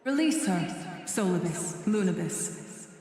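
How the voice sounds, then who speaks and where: A woman's voice: fairly low pitch, lively intonation, takes it slowly, dark tone. A woman playing a haughty goddess in a video game, imperious and grandiose as she judges mortals. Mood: coolly amused. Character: haughty, judging goddess who disdains mortals. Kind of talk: dramatic video game voice acting. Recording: very echoey vast hall, music behind. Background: music.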